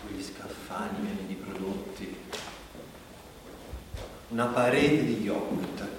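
A man's voice: on the low side, slow. An actor playing a chatty, watchful everyday man in a rambling, fragmented conversational stage monologue. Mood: musing, observant. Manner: rambling, fragmented, tagging phrases with questions. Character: watchful, chatty everyday supermarket shopper, musing. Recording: distant echoey hall, clear background noise.

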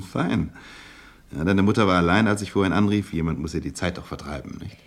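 An actor playing an effusive, ingratiating bachelor businessman in a German radio play, with a husky voice and a gushing, hesitant manner. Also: sits low, fairly quick. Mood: jovial, coaxing. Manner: gushing, hesitant, chuckling between phrases. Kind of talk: naturalistic German radio drama acting.

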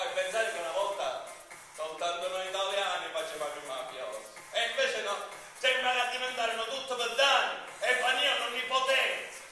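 Casting: male actor as bitter, indignant everyman in stage monologue, very high voice, rhetorical and colloquial, music behind. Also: sharp tone, heavily acted. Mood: indignant, impassioned. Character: man ranting bitterly against mafia and injustice. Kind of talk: theatrical monologue. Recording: very echoey, distant stage recording with music.

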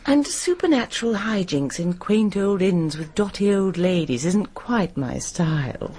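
A woman's voice: on the low side, animated, unhurried, dark tone, breathy edge. An actress with a British accent playing a cool, witty, condescending intellectual woman in a radio drama, arch and articulate. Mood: amused, breezy. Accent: British English.

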